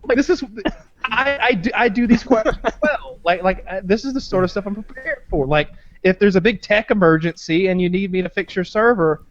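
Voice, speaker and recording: A man's voice: sits high, fairly quick, dull audio, a little hiss or hum. Rambling, crude male podcast co-host. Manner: rambling, crude, many restarts and fillers. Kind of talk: casual comedic chat.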